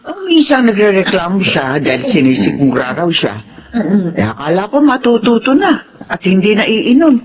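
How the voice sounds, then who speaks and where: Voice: mid-range voice, sing-song, moderate pace, lightly acted. An actor playing a fretful, caring older Filipina household nanny in a radio drama, with a Filipino accent. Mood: worried, grumbling.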